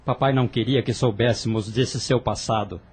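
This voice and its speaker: A man's voice: mid-range voice, steady tone, moderate pace, a little mellow, breathy edge. An actor playing a stubborn young man who speaks earnestly and firmly to his sister in an audio drama. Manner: earnest, firm, reflective.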